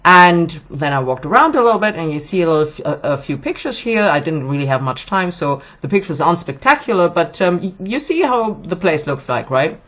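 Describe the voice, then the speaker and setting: A hoarse middle-aged woman hosting a podcast, talking in a chatty, rambling way with restarts. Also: deep voice, animated, fairly quick, hardly any pauses, a bit bright. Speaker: podcast host. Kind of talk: casual podcast monologue. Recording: dull lo-fi recording.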